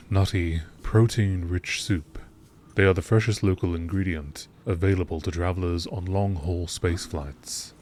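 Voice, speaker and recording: Very deep-voiced man narrating, noisy recording. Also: darkish tone. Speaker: narrator reading out video game text logs. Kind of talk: scripted narration in nature documentary style.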